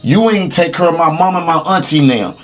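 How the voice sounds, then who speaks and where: A man's voice: a little high, lively intonation, a little fast. A man chatting casually on a podcast, informal and emphatic with profane street slang. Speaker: podcast speaker.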